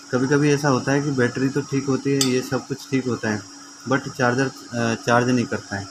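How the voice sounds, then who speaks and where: A man gives an informal hands-on repair demonstration, explaining step by step and often checking in with viewers. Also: medium pitch. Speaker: repair tutorial presenter. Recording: clearly noisy amateur recording with ambience.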